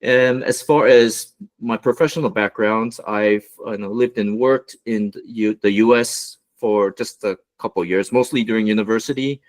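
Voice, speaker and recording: Man, fairly low voice, relaxed, lots of fillers, presenting slides on a video call. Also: normal speed. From United States, Los Angeles. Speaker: guest mentor presenting.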